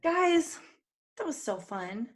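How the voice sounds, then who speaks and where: A woman's voice: high-pitched, very animated, slow. A woman co-hosting a casual, joking live podcast, chatty and prone to giggling. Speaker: podcast co-host.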